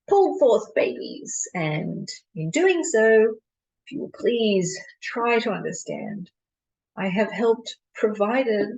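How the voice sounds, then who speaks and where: A woman performing a stage monologue as a disillusioned colonial mission doctor, bitterly candid and deliberate, with dramatic pauses. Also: mid-range voice, darkish tone, voice pushed up, poor audio. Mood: anguished.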